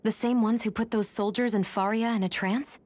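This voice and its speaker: A woman's voice: fairly high pitch, fairly even intonation, normal speed, a little mellow, close to an everyday voice. A woman playing a grave, alarmed young companion in a fantasy game, articulate and urgent. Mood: uneasy. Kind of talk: dramatic fantasy game voice acting. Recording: faint music behind the voice.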